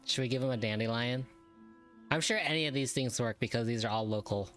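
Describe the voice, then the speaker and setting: A woman's voice: very deep voice, fairly quick. A woman giving casual gameplay commentary and reading dialogue aloud, playful and wry. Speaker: game streamer. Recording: game music behind the voice.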